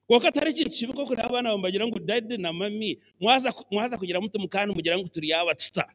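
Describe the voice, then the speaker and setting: A middle-aged Ugandan man preaching a sermon as bishop on a handheld mic in a large church, animated and mixing English phrases into his talk. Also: sits high, racing. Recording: long church hall reverb.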